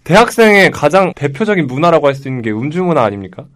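Sex: male. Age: 20s. Style: lively panel debate. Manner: quick and animated, pitch jumping about, slightly high voice. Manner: animated, acts out other people's reactions.

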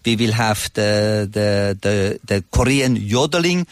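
A man in his sixties with a Swiss accent, speaking conversationally as an interview guest in halting, non-native English. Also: lowish voice, lively intonation, smooth, no room sound, clean studio sound. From Switzerland. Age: 60s.

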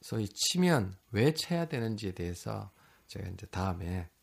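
A man who works as a cosmetic surgeon, with a gravelly, husky voice. His pitch moves up and down a lot. He explains things in a casual, agreeable way. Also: sing-song, unhurried.

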